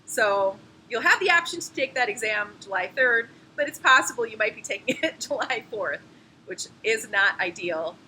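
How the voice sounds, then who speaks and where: A woman's voice: a little high, animated, normal speed, sharp tone, a little hiss or hum, poor audio. A woman, a professor explaining course logistics in a friendly, explanatory way. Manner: friendly, explanatory, laughs now and then. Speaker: anatomy and physiology professor. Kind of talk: course introduction video.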